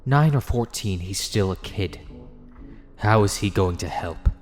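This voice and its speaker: A man's voice: on the low side, animated, measured pace, a little mellow, long reverb tail, soft background music. A man voicing a stern, disembodied voice in someone's head for an audio drama, cold, logical and accusing.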